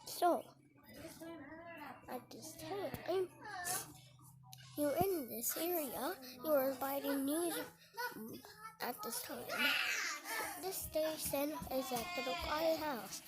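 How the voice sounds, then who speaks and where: A young-sounding amateur creator reads a mock emergency alert announcement, stumbling and garbling words in a halting way, on a homemade recording. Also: sits high. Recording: noisy homemade recording with clicks.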